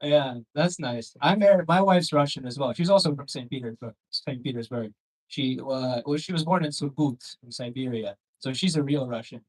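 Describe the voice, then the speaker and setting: A man's voice: medium pitch, normal speed, rough recording. A Canadian man performing stand-up in a comedy club, rambling and conversational, with sardonic asides, swearing and crowd work.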